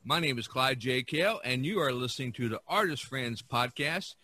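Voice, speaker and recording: A man hosting a podcast in a casual way, halting and repeating words as he talks. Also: medium pitch, animated, bright, forceful tone. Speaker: podcast host.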